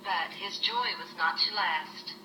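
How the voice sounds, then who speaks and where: A woman's voice: on the low side, slow, noisy background. An older woman telling a children's story expressively, as a grandmother reading aloud. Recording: roomy, distant home recording with music. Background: music.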